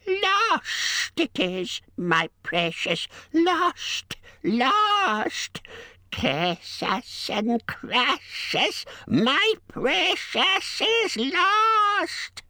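Shrill, wretched cave creature. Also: male voice, wildly swooping pitch, gravelly, sharp tone, no room sound, studio quality, heavily acted. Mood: wailing, distraught. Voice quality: shrill, screechy, strained. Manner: drawn-out wailing, hissing, repetitive muttering. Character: wretched, obsessive, wailing cave creature, male, ancient and sly.

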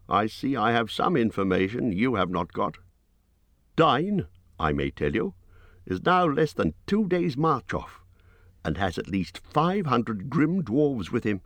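A man voicing a small, fussy male hobbit who talks in a squeaky, hurried, apologetic way. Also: dry recording, heavily acted.

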